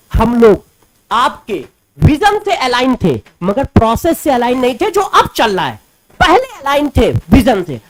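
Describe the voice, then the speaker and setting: छात्रों से बात करती एक शिक्षिका जोश के साथ अपनी बात रखती है और ज़ोर देने के लिए आंकड़े दोहराती है।. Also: female voice, high voice, rough recording. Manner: emphatic, repeats figures for stress. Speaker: educator speaking to students. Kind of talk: impassioned commentary to camera.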